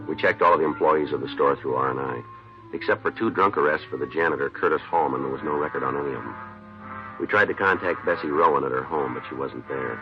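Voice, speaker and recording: An actor playing a terse, businesslike police detective in a 1950s radio drama, clipped and deadpan as he questions and narrates. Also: extremely low pitch, fast, over music. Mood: matter-of-fact. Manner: clipped, deadpan, matter-of-fact. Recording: dull old-time radio recording.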